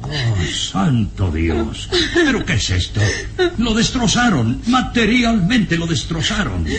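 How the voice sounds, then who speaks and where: An actor playing an elderly, earnest and worried professor in a radio serial, speaking haltingly and solemnly. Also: male voice, on the high side, huge pitch swings, fairly bright tone, heavily acted. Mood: shocked. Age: older. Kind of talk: radionovela acting. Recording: noisy old radio serial recording.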